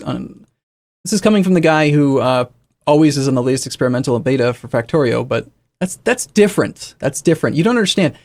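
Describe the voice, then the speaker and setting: A man's voice: mid-range voice, a little mellow. A young man live-streaming a game, chatting casually, correcting himself often and answering chat as he sets things up. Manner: rambling, self-correcting, reads and answers chat. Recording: faint music in the background.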